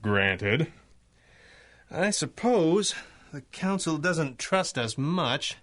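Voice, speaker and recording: An actor playing a commanding masked hero in a 1930s-style radio drama, speaking in a formal, dryly wry manner. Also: male voice, fairly high pitch, sing-song, extremely slow, a bit bright, full character voice. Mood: wry resolve.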